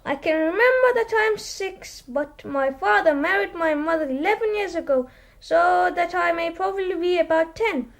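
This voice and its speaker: An earnest, chatty young boy, eager to help. His voice sits very high and bright. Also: moderate pace, gravelly. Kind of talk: radio drama acting.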